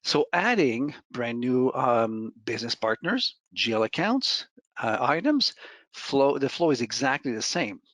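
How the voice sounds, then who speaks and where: Male software demo presenter, conversational and step-by-step, middle-pitched voice, dry close recording. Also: sing-song, unhurried, no echo. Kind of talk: informal screen-share software walkthrough.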